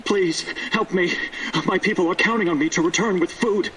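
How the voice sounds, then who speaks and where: A man's voice: sits high, normal speed, distant mic, noisy background, natural voice. An actor playing a shifty, pleading male survivor in a video game, hesitant and evasive. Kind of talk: video game character acting.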